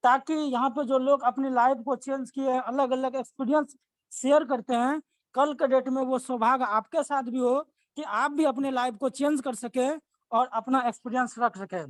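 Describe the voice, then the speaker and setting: A man from Bihar opens an online meeting with a formal welcome. His voice sits high and sounds dry and close, with no room around it. He strings long, winding sentences together. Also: fairly even intonation, normal speed, dry recording. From Bihar, India. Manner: long winding sentences, effusive praise of the guest. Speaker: meeting host and promoter. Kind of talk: formal welcome speech on a video call. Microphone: video call microphone.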